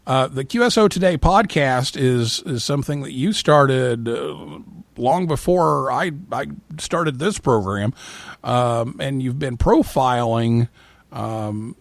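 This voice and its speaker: A man's voice: medium pitch, lively intonation, unhurried, gravelly, no echo. A man hosting a live call-in show, speaking as the presenter. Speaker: podcast and radio show host.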